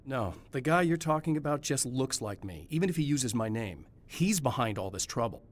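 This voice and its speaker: A man's voice: medium pitch, animated, moderate pace, lightly acted. An actor playing a weary, determined male horror novelist with a dry, matter-of-fact delivery in a game cutscene. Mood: wry. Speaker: writer, protagonist.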